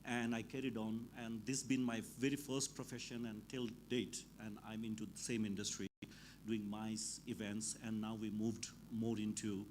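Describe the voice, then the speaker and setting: A man's voice: fairly low pitch, flat delivery, normal speed, a little mellow, a little hiss or hum. A man raised in Dubai, born in Bangladesh, speaking informally and a bit ramblingly as an events-industry speaker. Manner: informal, chatty, a little rambling. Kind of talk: unscripted conference remarks.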